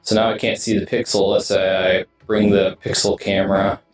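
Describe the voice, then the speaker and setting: Low-voiced man rambling, echoey and distant. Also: little pitch movement. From United States. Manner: rambling, halting, often trailing off mid-sentence. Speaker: video game streamer. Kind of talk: casual rambling vlog talk. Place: indoors in his streaming room setup. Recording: roomy echo, distant mic, music behind.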